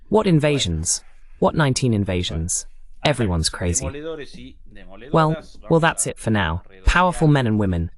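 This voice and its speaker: Male political host, very varied intonation, argumentative and emphatic in live commentary. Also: mid-range voice. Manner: argumentative, rhetorical questions, emphatic repetition.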